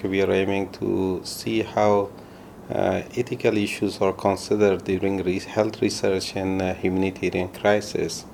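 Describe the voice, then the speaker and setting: Deep, flat, creaky-voiced man. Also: a little hiss or hum. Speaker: researcher. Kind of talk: short testimonial.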